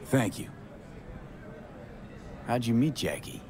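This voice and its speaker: A man's voice: medium pitch, big pitch swings, takes it slowly, a crowd in the background. A man playing a guarded, mournful young street mercenary in a video game. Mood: low-key, curious. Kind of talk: naturalistic video game acting.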